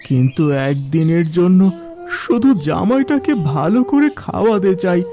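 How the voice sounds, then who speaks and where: A man's voice: high-pitched, big pitch swings, moderate pace. A man voicing a poor, anxious old father in a cartoon folk tale, humble and beseeching. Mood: pleading. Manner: deferential, beseeching. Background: music.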